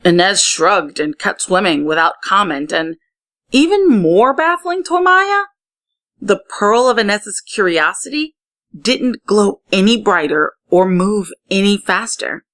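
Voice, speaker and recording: A woman's voice: mid-range voice, sing-song, measured pace, fairly bright tone. Woman reading a children's story aloud. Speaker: story reader. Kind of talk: expressive children's read-aloud narration.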